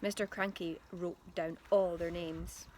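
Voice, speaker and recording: A woman's voice: fairly low pitch, fairly even intonation, a little slow, a little mellow, a little hiss or hum. A woman reading a children's story aloud expressively, like a primary school teacher.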